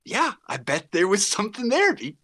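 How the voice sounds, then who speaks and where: A man chatting casually as a podcast panelist, animated and slipping into acted-out dialogue as he talks. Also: sits high, moderate pace, no room sound, studio quality. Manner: animated, acts out imagined dialogue. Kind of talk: casual pop-culture podcast chat.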